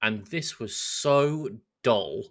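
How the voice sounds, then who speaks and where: A man's voice: medium pitch, animated, extremely slow. A man co-hosting a podcast, chatting casually and bluntly. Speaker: podcast co-host. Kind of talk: casual chat.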